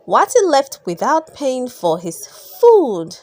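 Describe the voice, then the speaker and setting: A woman reading children's bedtime stories aloud expressively, drawing out key words and putting questions to young listeners. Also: sits high. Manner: expressive, drawn-out emphasis, gasps and sighs, questions to young listeners. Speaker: storybook reader. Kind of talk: read-aloud children's bedtime story narration.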